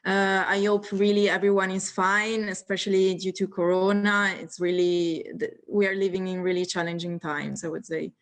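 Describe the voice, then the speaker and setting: A woman's voice: medium pitch, flat delivery, normal speed, few pauses. Italian-accented woman in her late twenties, guest on a video call, friendly and a little nervous. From northeast Italy. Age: 20s. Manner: friendly, nervous laughs, many fillers. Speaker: guest speaker.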